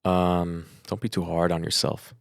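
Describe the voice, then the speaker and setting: A man's voice: low voice, little pitch movement, a little slow, gravelly, dry recording, studio quality. A young adult man with an American accent talking casually and earnestly on a podcast, giving advice as a co-host.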